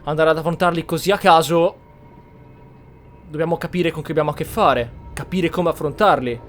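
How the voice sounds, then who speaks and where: A man's voice: fairly high pitch, fast talker, faint music behind. An Italian man commenting casually on a video game as he plays, thinking aloud in a reflective way. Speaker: gamer, channel host. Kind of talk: casual let's play commentary and roleplay.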